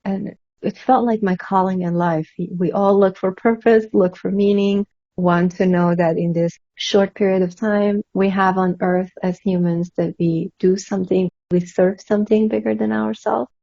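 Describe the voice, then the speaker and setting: A woman's voice: mid-range voice, steady tone, normal speed, creaky, warm tone, no room sound, dull audio. A woman from Iran whose first language is Kurdish, answering interview questions as an author in an earnest, reflective way. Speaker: author guest.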